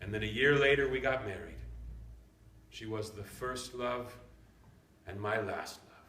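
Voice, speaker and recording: A man's voice: lowish voice, a little slow, a bit bright. Man playing a folksy old grandpa in an echoey room. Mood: wistful. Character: warm, teasing, nostalgic old grandfather in the countryside. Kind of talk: staged play reading. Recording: echoey room, background noise.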